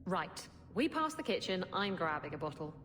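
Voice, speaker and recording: A woman's voice: lowish voice, sing-song, normal speed, fairly bright tone, slight background noise. A woman voicing a brash, saucy and suspicious female pirate captain in a video game, salty and sarcastic.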